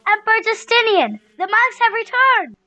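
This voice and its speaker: Girlish woman as very high, excitable court attendant. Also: normal speed, heavily acted. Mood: excited. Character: excitable royal counselor or guard at court. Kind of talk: amateur audio play acting.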